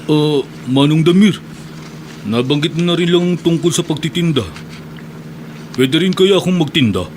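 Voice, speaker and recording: An earnest young man who takes up street vending, played by a radio drama actor. He speaks with a slightly raised pitch and a plain, sincere manner over faint background noise. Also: normal speed, long pauses. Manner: earnest, hawking loudly when selling.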